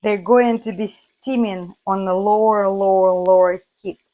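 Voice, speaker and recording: A woman hosting a cooking video from her home kitchen, her voice hoarse, chatting viewers through each step. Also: medium pitch, steady tone, unhurried, dark tone, lo-fi sound. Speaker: cooking channel host. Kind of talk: casual cooking demonstration.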